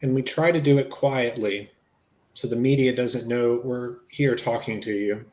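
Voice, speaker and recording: A man reading the part of a polite, low-key federal agent in a dramatic reading of a witness interview. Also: steady tone, moderate pace, a little mellow, close to an everyday voice, poor audio. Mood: reassuring. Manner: low-key, matter-of-fact.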